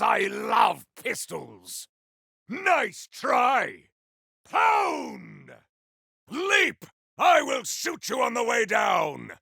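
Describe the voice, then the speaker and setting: Battle-hungry video game warrior: forceful, gravelly, theatrical and declamatory, in a very dry recording. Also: male voice, very high-pitched, no echo, exaggerated voice. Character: proud, battle-hungry warrior with grandiose, formal speech. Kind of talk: video game combat barks.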